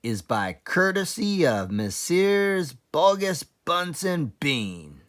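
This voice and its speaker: A man's voice: a little high, sing-song, extremely slow, pitched above the performer's own voice, full character voice. A man voicing a grinning, rousing fox leader who makes emphatic, theatrical speeches in a children's storybook. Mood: grand, hearty. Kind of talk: children's storybook read-aloud.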